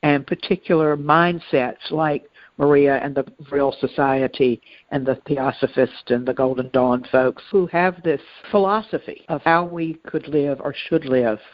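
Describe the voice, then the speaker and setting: A woman co-hosting a podcast in a reflective, conversational way, with earnest, halting and deliberate phrasing. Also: low-pitched, dry recording, muffled recording. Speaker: podcast co-host.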